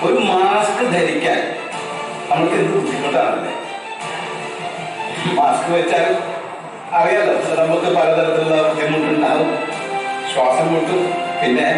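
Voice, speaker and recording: A man's voice: on the high side, lightly acted. A man with a Kerala accent playing an earnest, emphatic puppet doctor who exhorts the public in a scripted health-awareness puppet show. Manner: emphatic, exhortative, rhetorical questions. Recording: very echoey hall, distant, music behind.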